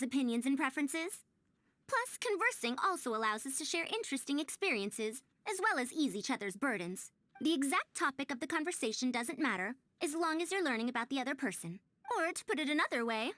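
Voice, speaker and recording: A woman's voice: sits high, fairly bright tone, no echo. A woman playing a prim, proud but kindly young-sounding researcher in a video game, speaking formally and articulately in wordy, old-fashioned phrases. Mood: earnest, instructive. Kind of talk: scripted video game character dialogue.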